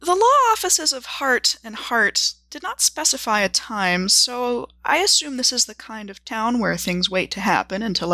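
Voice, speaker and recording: A woman's voice: mid-range voice, bright, forceful tone, dry recording. A woman playing a wry, precise traveler reading out her journal as scripted narration, dry and articulate.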